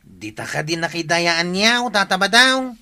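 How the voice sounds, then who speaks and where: High, piercing Ilocano tricycle driver. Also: male voice, lively intonation, normal speed, pushed and bright, smooth, exaggerated voice. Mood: helpful, puzzled. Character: helpful village tricycle driver. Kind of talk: Ilocano radio comedy drama acting.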